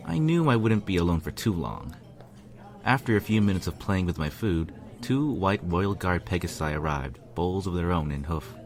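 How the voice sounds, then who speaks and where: A man reading a first-person story aloud as narrator, with an earnest, measured, brooding delivery. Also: deep voice, animated, darkish tone. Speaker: fan fiction reader, narrator. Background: instrumental music.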